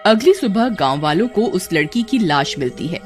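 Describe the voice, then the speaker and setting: Animated storyteller narrating a cartoon tale. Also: medium pitch, moderate pace, loud music behind the voice.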